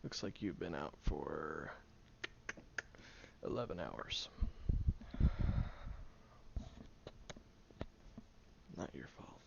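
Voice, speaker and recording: A man's voice: very deep voice, slow, creaky, exaggerated voice. A man playing a sarcastic, exasperated tsundere young man who grudgingly looks after someone in an audio roleplay, speaking straight to the listener. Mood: dry, scolding. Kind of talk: audio roleplay acting, spoken directly to the listener. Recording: dull lo-fi audio with faint noise.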